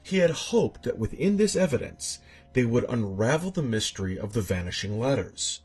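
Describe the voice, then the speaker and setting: Male horror narrator, strongly raspy with an animated range, theatrical and suspenseful storytelling. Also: mid-range voice, moderate pace, faint music behind. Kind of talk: scripted dramatic narration of a horror tale.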